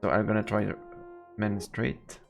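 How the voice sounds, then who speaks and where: Gravelly French-accented man streaming a game. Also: lowish voice, level tone, normal speed, soft background music. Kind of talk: live let's play, reading game text aloud and commenting.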